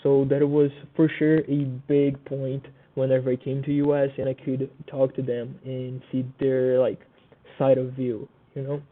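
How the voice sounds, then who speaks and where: A young man with a Brazilian Portuguese accent gives a class presentation, stopping often for fillers and restarts. His mid-pitched voice stays flat and even. The sound is thin and tinny, like a phone line. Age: young adult. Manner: halting, many fillers and restarts. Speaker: student presenter.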